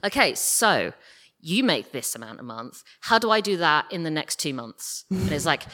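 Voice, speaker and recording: Bright-voiced, low-pitched British woman, chatty. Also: sing-song, moderate pace. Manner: informal, gushing, acts out imagined quotes. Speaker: guest, audio drama creator. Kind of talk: live on-stage interview, casual anecdotes. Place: live podcast festival stage with audience.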